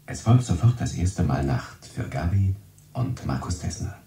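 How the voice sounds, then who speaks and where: Ein Schauspieler spielt in einem Hörspiel einen förmlichen, effektvollen Radioansager. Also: male voice, low voice, expressive, unhurried, dark tone. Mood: formal, showy. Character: smooth radio announcer, showily formal. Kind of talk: announcer in a radio play. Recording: echoey, distant, music behind.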